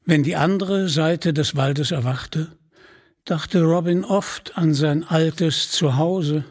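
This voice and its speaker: A man reads a scripted storybook narration in a measured way. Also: fairly high pitch.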